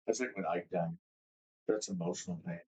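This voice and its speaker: A man co-hosting a casual podcast chat, playful and slangy, steering the questions with jumpy, lively talk. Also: low-pitched, a little fast, husky. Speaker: podcast co-host.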